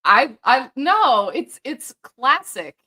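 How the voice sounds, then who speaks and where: A woman hosting a casual commentary chat, chatty and quick to laugh. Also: high voice, big pitch swings, pushed and bright. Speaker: host.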